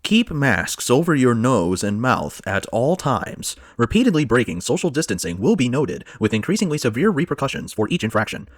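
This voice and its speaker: A man's voice: medium pitch, big pitch swings, moderate pace, few pauses, no echo, studio quality. Officious security droid acted by a man. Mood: officious, impersonal. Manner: officious, formulaic announcing. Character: officious automated security droid barking pandemic rules and ads. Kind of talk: scripted audio drama.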